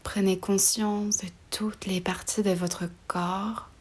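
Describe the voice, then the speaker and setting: Soft, breathy woman guiding meditation. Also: mid-range voice, flat delivery. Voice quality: soft, breathy, often near a whisper. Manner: slow, soothing, pausing between short phrases. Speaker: yoga nidra meditation guide. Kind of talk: guided relaxation meditation.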